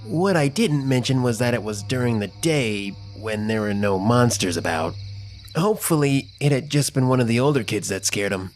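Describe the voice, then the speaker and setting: A man narrating a scripted first-person children's story in an expressive, dramatic storytelling manner. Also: medium pitch, moderate pace, soft background music.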